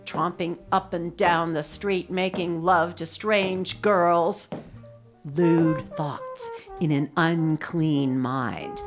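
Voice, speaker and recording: An actress playing a demanding, melodramatic, complaining middle-aged mother in a radio drama, nagging and querulous. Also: lowish voice. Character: demanding, complaining, possessive mother of a grown son.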